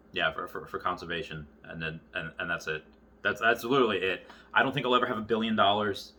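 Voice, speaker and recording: A man in his early thirties talking casually and off the cuff to viewers, rambling and often halting to circle back on his thoughts. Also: lowish voice, pushed and bright. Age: early 30s. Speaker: YouTuber. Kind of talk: casual unscripted monologue to viewers.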